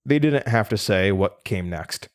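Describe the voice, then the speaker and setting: A man's voice: lowish voice, lively intonation, unhurried. A man playing a shaken young college student, narrating a horror audio drama in a dramatic, haunted way that stammers under stress. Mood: haunted, sombre. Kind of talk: horror audio drama first-person narration, acting out dialogue. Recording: music bed under the voice. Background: music.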